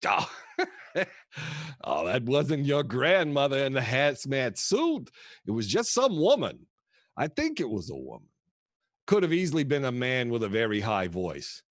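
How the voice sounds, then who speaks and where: An actor playing a kooky old grandfather who tells rambling, theatrical, halting stories to his grandchildren in a comedic audio play. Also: mid-range voice, huge pitch swings, no room sound, clean studio sound. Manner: theatrical, wistful, halting storyteller.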